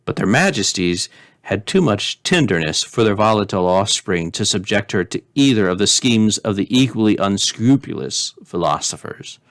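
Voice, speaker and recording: A man reading a story aloud as a storyteller, in a measured, expressive way. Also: on the low side, no room sound, studio quality. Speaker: storyteller, pastor.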